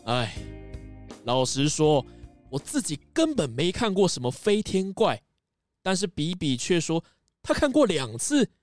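A gentle, worried father played by a man explains things in a children's mystery radio play. He is the anxious master of an old castle, with music playing underneath. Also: a little high, big pitch swings, measured pace, no echo. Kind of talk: children's audio mystery drama. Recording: music behind the voice.

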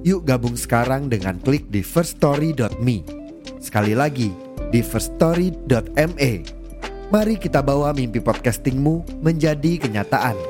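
A man's voice: mid-range voice, expressive, measured pace. Man reading an upbeat promo over guitar. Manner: upbeat, persuasive. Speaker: ad announcer. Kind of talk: scripted promo read.

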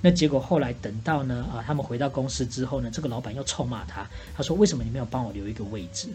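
A woman with a Taiwanese Mandarin accent co-hosts a casual comic podcast. Her voice sits very low and she talks rapidly, spinning stories and mock-earnest advice. There is faint music in the background. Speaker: podcast co-host playing a bartender.

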